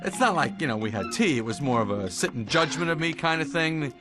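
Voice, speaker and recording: A man voicing an eager, wisecracking, slightly nervous young bee in a cartoon game, animated and self-deprecating, with music behind him. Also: on the high side, fast talker. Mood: awkward.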